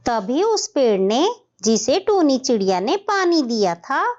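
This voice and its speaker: A woman's voice: high voice, very animated, slow, darkish tone, lo-fi sound. A woman storyteller reading scripted narration for a children's cartoon tale.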